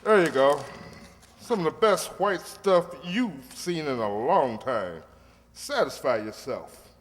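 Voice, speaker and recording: Man acting a lively, higher-voiced crook in a hall. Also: slow pace. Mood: businesslike. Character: smooth-talking male drug dealer, placating and cocky. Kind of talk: old-time radio crime drama acting. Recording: echoey hall.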